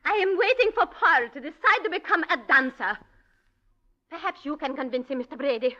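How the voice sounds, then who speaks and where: A cold, precise ballet mistress who speaks in clipped, disdainful lines. Her voice is very bright and high. It comes through a dull old radio recording. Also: lively intonation, fairly quick. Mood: scornful. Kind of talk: 1940s radio acting.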